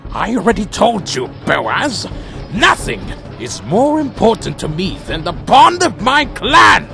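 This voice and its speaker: A man as a dramatic, gravelly vampire warrior. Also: high voice, wildly swooping pitch, bright, forceful tone, soft background music, full character voice. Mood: defiant. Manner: theatrical, grave, deliberate. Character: defiant, intense vampire warrior loyal to his clan. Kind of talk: dramatic first-person narration and acted dialogue.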